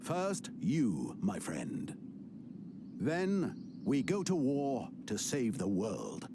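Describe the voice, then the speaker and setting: A weary ancient wizard mentor. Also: male voice, mid-range voice, huge pitch swings, background noise, heavily acted. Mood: grave. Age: older. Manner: measured, solemn, dryly teasing. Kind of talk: video game cutscene acting. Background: game score music.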